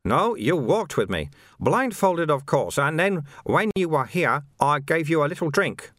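A man voicing a calm, chatty monk in an audiobook, soothing and lightly teasing as he explains things. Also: a little high, measured pace, dry recording, studio quality, pitched above the performer's own voice. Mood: chatty, matter-of-fact. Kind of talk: audiobook character dialogue.

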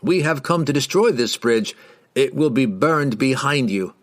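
A man's voice: mid-range voice, animated, measured pace, voice pushed up, close to an everyday voice. A man voicing a haughty, hostile patrol rider, with pompous, sneering and archaic speech in a fantasy audiobook. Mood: taunting.

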